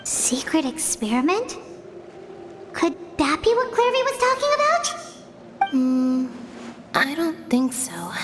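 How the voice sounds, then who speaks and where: A woman voicing a small, childlike fairy companion in a video game, squeaky, chatty, earnest and a little nervous. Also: huge pitch swings, takes it slowly, pauses often, in a large hall, very noisy, heavily acted. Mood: puzzled.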